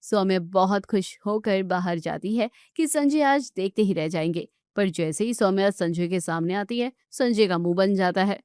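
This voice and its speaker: A woman's voice: mid-range voice, normal speed, hardly any pauses, darkish tone, clean tone, dry recording, clean studio sound. A woman storyteller reading scripted narration of a family tale.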